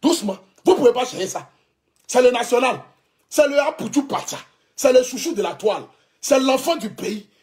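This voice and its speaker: A man's voice: very high voice, expressive, normal speed, a little mellow. A man in his thirties with an Ivorian French accent, giving a fervent, repetitive, defiant livestream address as a social media blogger. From Ivory Coast. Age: 30s. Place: indoors, clothing rack behind.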